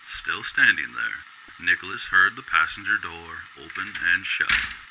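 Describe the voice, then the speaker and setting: A man's voice: low voice, sing-song, a little slow, bright, forceful tone, a little hiss or hum, low-quality recording. A man narrating a scripted radio drama as a storyteller.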